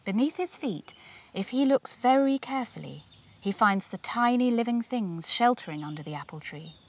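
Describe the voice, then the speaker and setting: A woman reading her own children's storybook aloud in an expressive, deliberate storyteller's manner with dramatic emphasis. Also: a little high.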